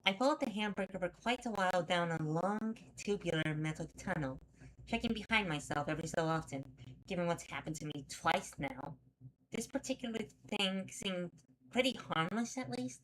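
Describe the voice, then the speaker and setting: A woman acts out a stubborn heroine narrating her own horror comic. The voice sits slightly low for a woman, with a sardonic, exclamatory delivery that keeps a sarcastic, exasperated edge. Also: expressive, moderate pace, a bit bright, slight background noise, low-quality recording. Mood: reflective. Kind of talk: dramatic first-person comic readalong narration.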